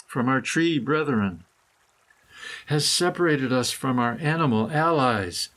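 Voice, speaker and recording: A man reading his own scripted meditative prose poem in a solemn, deliberate manner with dramatic phrasing. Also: medium pitch, lively intonation, fairly bright tone. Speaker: author reading own essay.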